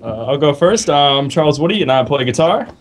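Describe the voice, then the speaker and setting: A man's voice: a little high, sing-song, a little fast, slight background noise. A man chatting casually as a musician guest in an interview. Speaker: band guitarist, interview guest.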